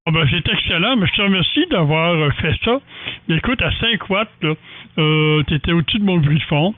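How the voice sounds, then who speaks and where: A man's voice: on the high side, animated, a little fast, no room sound, phone-quality sound. Quebec man, thin dry radio sound. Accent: Quebec French. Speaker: amateur radio operator. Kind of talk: casual radio chat.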